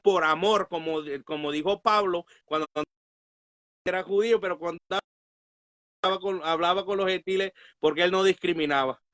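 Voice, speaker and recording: A man's voice: high-pitched, normal speed, a bit bright, no echo, lo-fi sound. A man speaks as a pastor and Bible teacher. His manner is earnest and devotional, sprinkled with amens. The sound is dry, with little room in it. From Guatemala. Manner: earnest, pious, peppered with amens. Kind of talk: devotional religious talk.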